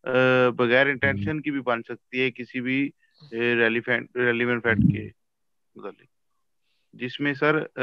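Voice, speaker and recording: A man's voice: mid-range voice, clear, resonant tone, no room sound. A man, a student deferentially explaining a point in an online class. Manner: deferential, keeps saying sir. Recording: dull online meeting audio.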